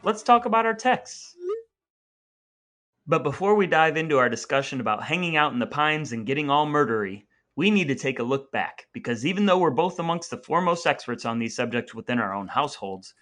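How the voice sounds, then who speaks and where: A man's voice: mid-range voice, lively intonation, brisk, fairly bright tone. A man co-hosting a podcast in a conversational, partly scripted style, with playful mock-grandiose phrasing. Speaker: podcast co-host. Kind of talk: conversational podcast talk with semi-scripted intro.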